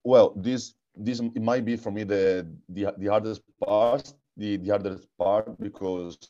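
A man with an Italian accent, a guest answering interview questions, speaking haltingly with many repeated words and restarts. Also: fairly low pitch, fairly even intonation, warm tone, muffled recording. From Italy. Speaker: guest, futsal coach and technical director.

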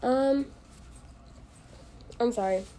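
A woman's voice: on the high side, extremely slow, dark tone, slight background noise. Congested young woman rambling on her podcast. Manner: rambling, self-deprecating, full of fillers. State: congested from allergies. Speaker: podcast host. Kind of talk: casual solo podcast chat.

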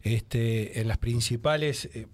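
A man's voice: mid-range voice, slow pace. A male radio host, interviewing in a casual, hesitant way with many fillers.

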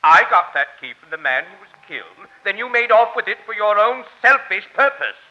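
A gallant, fussy little gentleman on a dull old radio recording. His voice is forceful and swoops widely, and his diction is pompous and florid, in 1940s radio style. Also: high voice, very animated, normal speed, full character voice. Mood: indignant, righteous. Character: small, fussy, gallant would-be crime-fighting eccentric gentleman.

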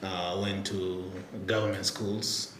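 A man from Nairobi, Kenya, telling his story as a podcast guest in a relaxed, reflective way, laughing easily. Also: deep voice, slow pace, slight background noise. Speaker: podcast guest, startup executive. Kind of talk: casual interview storytelling. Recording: roomy sound with faint ambience.

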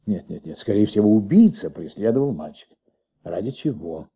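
An actor playing an ailing, insistent middle-aged police commissioner in a radio play, gravelly and halting, repeating himself as he thinks aloud. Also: on the low side, big pitch swings, dark tone, full character voice. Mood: pondering, restless. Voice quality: gravelly, husky. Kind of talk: Soviet radio play acting. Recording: muffled old radio recording.

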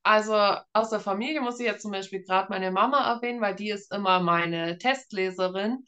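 A woman in her thirties from northeastern Germany, chatting casually as an author being interviewed and telling anecdotes in an animated way. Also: medium pitch, a little fast. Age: 30s. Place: room at home.

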